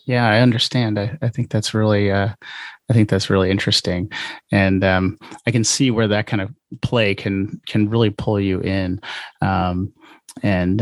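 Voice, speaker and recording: Halting male podcast host, dry sound. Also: fairly low pitch, no echo. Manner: tentative, halting, feeling his way through questions. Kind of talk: casual, unscripted interview questions.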